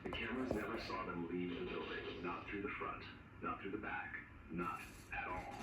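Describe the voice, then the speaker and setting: Male horror announcer, very deep and ominous, measured warnings; distant, heavily noisy recording. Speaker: emergency broadcast announcer. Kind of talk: scripted analog horror narration. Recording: noisy, echoey, distant broadcast-style recording.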